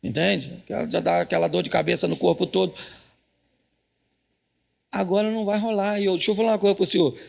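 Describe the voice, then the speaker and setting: A Brazilian pastor playing a weary, aging prophet who rambles through slangy, evasive excuses in a comic sermon. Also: male voice, sits high, fairly even intonation, a little fast, vocal fry, long pauses. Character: weary, reluctant older male prophet making comic excuses.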